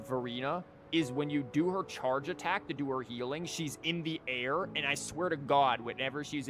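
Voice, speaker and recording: Rambling man streaming over game music. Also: medium pitch. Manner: rambling, conversational. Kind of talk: casual livestream chat and game commentary.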